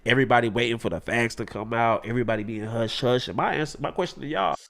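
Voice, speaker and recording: A man's voice: medium pitch, fast talker, a bit bright. A man in an online commentary video, talking in an emphatic, rhetorical, preachy way.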